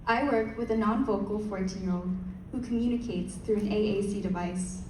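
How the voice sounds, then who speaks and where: A young woman, a pageant contestant, giving a rehearsed speech in a measured way. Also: medium pitch, darkish tone, slight background noise. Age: young adult. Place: large hall. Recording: echoey hall from far off.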